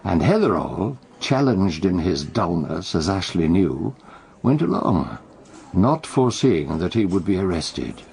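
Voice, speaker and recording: Deep, gravelly, shrewd detective. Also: male voice, very animated, darkish tone, exaggerated voice. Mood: knowing, explanatory. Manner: measured, knowing, dry. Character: shrewd, wry male armchair detective explaining crimes. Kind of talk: radio drama acting.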